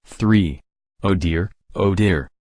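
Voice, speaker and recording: A man acting a kindly old gentleman, low voice, unhurried, gently needling, radio play Santa, dry close sound. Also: no echo. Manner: unhurried, gently needling, cheerfully self-assured.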